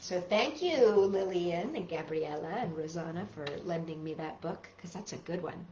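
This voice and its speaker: A woman hosting a storytime for children, reading aloud in an expressive, casual way and putting questions to young listeners. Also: fairly low pitch, normal speed, reverberant, dull audio, loud music behind the voice. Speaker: storytime host reading picture books to children.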